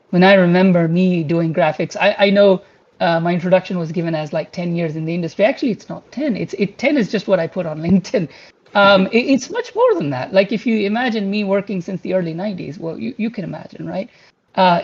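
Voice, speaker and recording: A man's voice: high-pitched, darkish tone. A man in his forties whose first language is Pashto, speaking as a guest and telling his career story in an earnest, rambling way with many restarts. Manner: earnest and rambling, with frequent restarts and filler words. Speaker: guest speaker, principal engineer. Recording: muffled lo-fi call audio.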